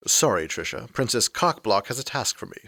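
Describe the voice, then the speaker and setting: Guy doing a snarky immortal womanizer for an audio drama, super animated, sardonic, dry sound. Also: on the low side, a little fast, vocal fry, dry recording, heavily acted. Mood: irritated. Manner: sardonic, flirtatious, theatrically indignant. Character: snarky, womanizing, bloodthirsty centuries-old male supernatural being.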